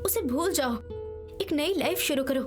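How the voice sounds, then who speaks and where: A caring young daughter played by a woman with a very high-pitched voice. She pleads and reasons earnestly in film dialogue over loud music. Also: normal speed, lightly acted. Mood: earnest persuasion. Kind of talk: melodramatic dubbed film drama.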